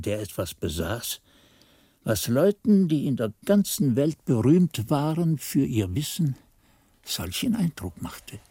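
An actor playing a monk who narrates as a devoted pupil in a radio play, in an earnest, literary, expressive manner. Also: male voice, fairly high pitch, breathy edge, no room sound, studio quality, exaggerated voice. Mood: admiring, proud. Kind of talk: scripted radio drama narration.

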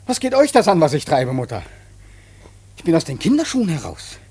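A dissolute young son in a radio drama. His voice is high and very hoarse, swinging wildly in pitch as he whines and snaps defiantly at his family. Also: wildly swooping pitch, a little fast, darkish tone, heavily acted. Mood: exasperated. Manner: whining, defiant, snappish. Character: sullen, idle, irritable dissolute young adult son.

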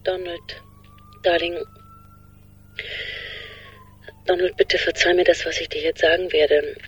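An actress in a radio drama playing an anguished woman leaving a halting, pleading, whispery breakup message on a voicemail. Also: on the low side, little pitch movement, low-quality recording. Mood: anguished confession. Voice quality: breathy, whispery. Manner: halting, pleading, repeating herself.

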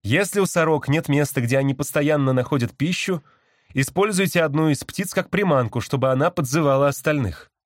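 A man's voice: a little high, fairly quick, no echo, clean studio sound, pitched above the performer's own voice, close to an everyday voice. A man voicing a curt, irritable, grumbling man as an audiobook character. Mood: matter-of-fact. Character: gruff, irritable man, grudging and evasive.

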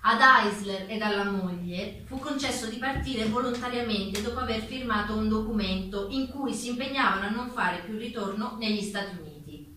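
A woman's voice: on the high side, bright, forceful tone. A woman performing as the formal narrator of a political stage play, composed and expository in scripted delivery. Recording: echoey room, distant mic, faint noise.

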